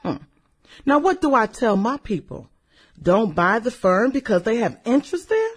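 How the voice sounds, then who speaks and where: Brash, animated, flirty businesswoman played by a woman. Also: a little high, breathy edge. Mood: defiant, brash. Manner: sassy, slangy, drawn-out interjections. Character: brash, flirty, fun-loving high-powered businesswoman in her late thirties. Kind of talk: satirical radio drama acting.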